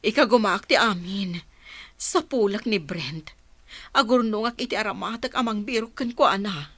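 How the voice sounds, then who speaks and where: A woman's voice: mid-range voice, normal speed, a bit bright, no echo, close to an everyday voice. An actress playing an evasive, guilt-ridden woman in a radio drama, speaking in a hesitant, emotional way. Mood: remorseful, resolved.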